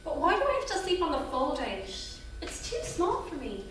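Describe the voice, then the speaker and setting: Blunt sulky little girl, highish voice, very echoey, bit of noise. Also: wildly swooping pitch, normal speed, a bit bright, heavily acted. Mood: whiny. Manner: blunt, plaintive. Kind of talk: staged reading of a screenplay. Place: theatre staged reading. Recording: very echoey theatre, distant mic.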